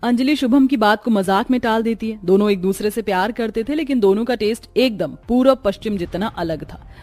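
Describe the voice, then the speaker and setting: High-voiced woman narrating a cartoon story. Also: a little fast, a little mellow, no room sound, soft background music.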